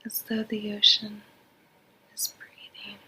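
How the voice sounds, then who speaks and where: A woman guiding a sleep meditation in a breathy half-whisper, soothing and measured, with long pauses. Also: medium pitch, monotone, sharp tone, low-quality recording. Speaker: meditation guide. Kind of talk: slow guided sleep meditation.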